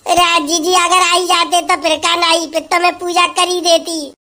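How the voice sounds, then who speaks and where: A woman's voice: very high-pitched, flat delivery, unhurried, sharp tone, heavily acted. A woman voicing a hungry, fed-up village wife who grumbles and scolds in a comic sketch. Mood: complaining. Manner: complaining, scolding, rustic chatter.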